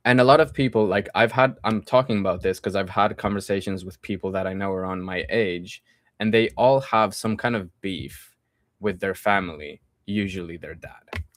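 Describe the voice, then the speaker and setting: A young man in his twenties with a low voice chats casually on a live stream, answering viewers' comments and then returning to his topic. His delivery is easygoing and friendly, with plenty of trailing fillers. The sound is dry and close, with almost no room echo. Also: normal speed. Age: 20s. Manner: reads viewer comments aloud and answers them, drifting back to his topic.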